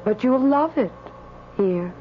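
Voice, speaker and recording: A sweet female spirit in a radio play. She coaxes and gently mocks in a fairly high, matter-of-fact voice. The recording is slightly dull and hums faintly. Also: expressive, takes it slowly. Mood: coaxing. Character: sweet, coolly teasing female spirit from the afterlife. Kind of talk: radio drama acting. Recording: dull radio broadcast, faint mains hum.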